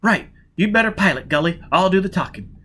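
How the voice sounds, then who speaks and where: A cool, clipped young woman spacer, raised high and bright, as a male reader puts her on. She gives curt, level orders in a lively, swooping voice. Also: fairly quick, slight background noise. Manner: clipped, steady under pressure.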